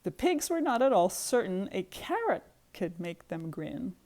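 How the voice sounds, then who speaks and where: A woman narrates a children's storybook at a slow, deliberate pace. Her pitch moves widely, and she hits repeated action words with rhythmic emphasis. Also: sing-song, darkish tone. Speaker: children's book reader.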